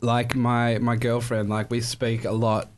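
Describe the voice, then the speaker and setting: A loud man rambles through a casual podcast chat, often running himself down. He talks into a studio mic in a dark room, with a faint hiss of noise behind him. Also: mid-range voice, completely flat delivery, unhurried. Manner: loud, rambling and self-deprecating. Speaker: guest. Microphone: studio mic on a boom arm.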